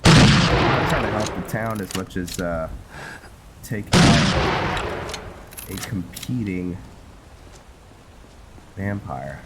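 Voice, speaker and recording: A man's voice: sits low, expressive, very slow, pauses often, very noisy. A man giving casual, meandering gameplay commentary, hesitating as he talks to himself and his viewers. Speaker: gamer, host of a roleplay series. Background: video game music.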